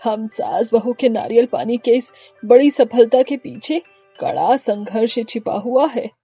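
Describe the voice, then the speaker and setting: Woman playing a husky, motherly market seller. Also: on the high side, measured pace, warm tone, no room sound, dull audio, faint music behind. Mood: proud, earnest. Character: hardworking, affectionate mother-in-law coconut-water seller.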